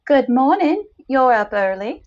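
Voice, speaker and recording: A woman acts a forty-year-old high society dame in an audio story. She is wry and knowing, speaking slowly in a high-pitched society voice, on a slightly muffled recording. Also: sing-song. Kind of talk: dramatic short story reading.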